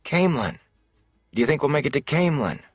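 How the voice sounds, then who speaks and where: Man acting a footsore, anxious young traveller, higher-pitched. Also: very animated, normal speed, no echo, clean studio sound, soft background music. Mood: anxious, subdued. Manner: muttering, complaining.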